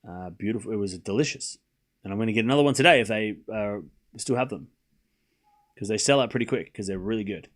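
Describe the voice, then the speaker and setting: A man's voice: lowish voice, quick pace. A man with a mild Australian accent hosting a live tennis watchalong, mixing commentary with casual chat and repeating phrases for emphasis. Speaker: livestream watchalong host and commentator.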